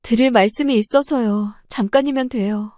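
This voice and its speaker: Woman acting a remorseful daughter-in-law, fairly high. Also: normal speed, a little mellow, no room sound, studio quality, lightly acted. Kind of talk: audio story drama.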